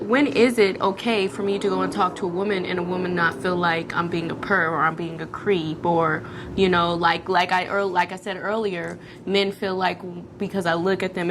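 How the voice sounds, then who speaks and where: Chatty, animated woman co-host speaking casually over background noise. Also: fairly low pitch. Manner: animated storyteller, calls listeners girl and ladies. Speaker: podcast co-host. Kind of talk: casual chat.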